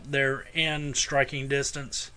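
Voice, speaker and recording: A man's voice: medium pitch, level tone, slow, bright, forceful tone. A man hosting a casual podcast, talking in a crude, opinionated way and swearing freely. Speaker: podcast host.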